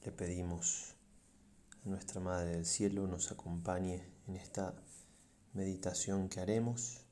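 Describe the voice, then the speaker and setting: Guy, priest doing a guided meditation, dark mellow voice, pretty flat and solemn, slight noise behind. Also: low voice. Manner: solemn, measured, pausing between short phrases. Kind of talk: slow reflective guided meditation.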